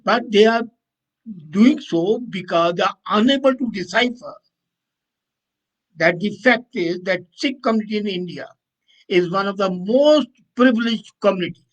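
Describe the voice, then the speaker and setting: Male commentator, notably high voice, emphatic and opinionated, off-the-cuff delivery. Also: lively intonation, moderate pace, rough recording. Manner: emphatic, opinionated, self-correcting. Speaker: commentator and host answering viewer comments. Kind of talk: unscripted political commentary.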